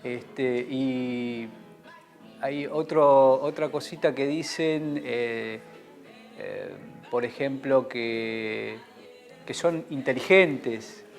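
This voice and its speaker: A man talks slowly and hesitantly, with lots of fillers. His voice sits at a middle pitch, and faint music plays behind him. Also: vocal fry. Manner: hesitant, many fillers and restarts. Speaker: interviewee. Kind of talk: casual interview talk.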